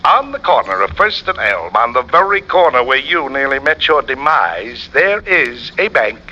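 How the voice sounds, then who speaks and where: Blustery cop sidekick, piercingly bright, animated and bantering, boxy vintage radio sound. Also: male voice, mid-range voice, highs cut off, a little hiss or hum. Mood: smug, bantering. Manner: folksy, bantering, drawn-out storytelling. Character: blustery, folksy male police lieutenant, teasing and exasperated. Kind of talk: 1940s-50s radio detective acting.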